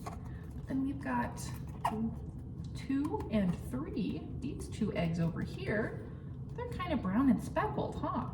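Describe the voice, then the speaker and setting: Woman, children's storytime reader, slow delivery, swooping suspenseful intonation, roomy noisy recording. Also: high voice. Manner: animated, exaggerated suspense with audible gasps and questions to listeners; simple child-directed phrasing, counting aloud slowly. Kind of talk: interactive picture-book read-aloud for young children. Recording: roomy, distant mic, clearly noisy background.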